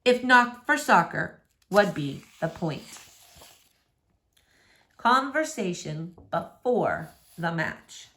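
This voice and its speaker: A woman's voice: lowish voice, sing-song, slow pace, long pauses, fairly bright tone. Woman reading a verse novel aloud. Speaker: book reader.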